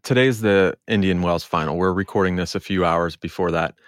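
Deep-voiced man hosting casual sports chat. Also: fairly even intonation, a little fast, no room sound, studio quality. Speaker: podcast co-host.

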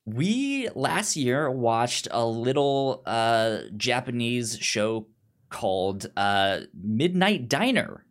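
A man co-hosting a film podcast, chatting casually and haltingly as he searches for words. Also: mid-range voice, very animated. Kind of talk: casual film discussion and recommendations.

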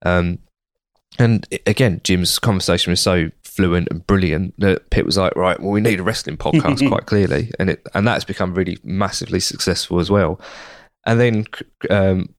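Croaky low-voiced man, chatty guest. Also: moderate pace, no room sound, studio quality. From United Kingdom. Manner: chatty, rambling with restarts. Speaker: podcast guest. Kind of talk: casual interview answers.

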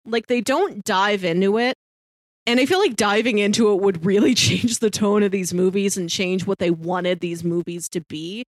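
A dry, close-sounding recording of a woman with an average-pitched voice. She talks casually as a podcast co-host discussing films. Her sentences loop and build as she thinks aloud. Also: normal speed, no echo.

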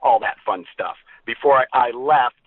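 A man from the US Midwest, a guest who tells stories in a friendly way and pauses on many uhs. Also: mid-range voice, no echo. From Ohio, US Midwest. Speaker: guest, comedy performer and co-founder. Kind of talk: interview conversation. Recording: dry, tinny phone line.

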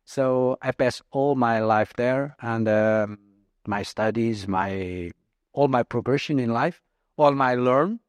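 A man's voice: medium pitch, smooth, no echo. Portuguese-native man in his fifties. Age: 50s. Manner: halting non-native English with many fillers. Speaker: guest. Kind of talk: casual interview answers.

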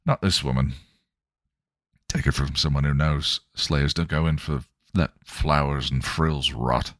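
A crude, cocky male vampire, sneering and slangy, with a very deep voice, as a man reads him out. The sound is close and dry, with no room around it. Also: fairly even intonation, measured pace, fairly bright tone, dry recording. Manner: cocky, slangy, rough-edged. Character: coarse sneering male vampire with a demon inside.

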